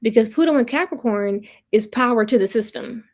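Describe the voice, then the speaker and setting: A woman's voice: fairly high pitch, brisk, darkish tone. A woman hosting a casual livestream of commentary, chatting with viewers in a blunt, opinionated, profane way and repeating points for emphasis. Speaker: host of a pop culture commentary livestream. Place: live online stream.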